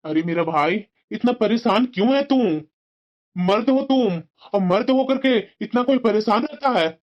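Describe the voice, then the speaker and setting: A man's voice: extremely high pitch, animated, moderate pace. A man voicing a meddling, callous friend who persuades insistently and familiarly in a cartoon moral drama, on a muffled recording. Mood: pushy, persuasive. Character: meddling, pushy male friend giving callous advice. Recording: dull, muffled lo-fi sound.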